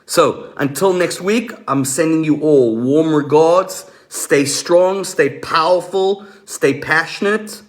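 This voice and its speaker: Higher-pitched man, emphatic motivational coach. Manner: emphatic, repeats key questions, deliberate pauses. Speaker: motivational coach, host of a live stream. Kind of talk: motivational coaching talk to online viewers.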